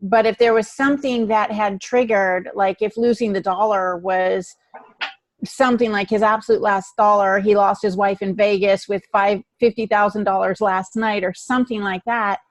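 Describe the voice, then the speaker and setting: A woman's voice: medium pitch, steady tone, moderate pace, dry recording. A woman co-hosting a writing podcast, speaking casually and emphatically as she discusses the craft. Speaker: writing podcast co-host and novelist.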